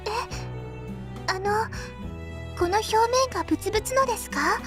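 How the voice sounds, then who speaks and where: A woman playing a polite, timid, gentle teenage schoolgirl in a Japanese visual novel. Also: very high-pitched, a little fast, over music. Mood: hesitant.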